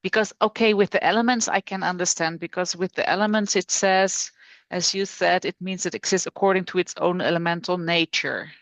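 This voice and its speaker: A woman's voice: lowish voice, steady tone, brisk, fairly bright tone, dry recording. A woman student asking a teacher a question, hesitant and searching for words.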